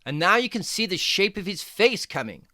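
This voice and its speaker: A performer voicing a jaunty, fussy fox who gives playful, step-by-step drawing lessons for children in a theatrical, deliberate, encouraging way. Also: medium pitch, very animated, bright, forceful tone, no echo, studio quality. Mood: playfully bossy. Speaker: presenter of children's drawing tutorial.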